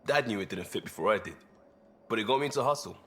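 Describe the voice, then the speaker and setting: Low-voiced, easygoing former cop. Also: male voice, animated, quick pace, fairly bright tone. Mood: candid, proud. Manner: casual, blunt, wry. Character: easygoing earnest male crisis responder, former cop. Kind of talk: video game cutscene dialogue.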